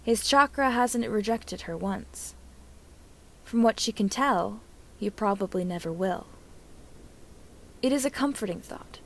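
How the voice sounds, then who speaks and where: Amateur female audiobook narrator, medium pitch, expressive scripted storytelling. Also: unhurried, long pauses.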